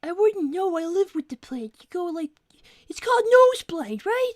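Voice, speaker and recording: A woman's voice: extremely high pitch, very animated, moderate pace, no echo, clean studio sound. A woman playing a smug, precocious young cartoon fox boy co-hosting an in-character livestream with matter-of-fact, needling chat. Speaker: livestream co-host.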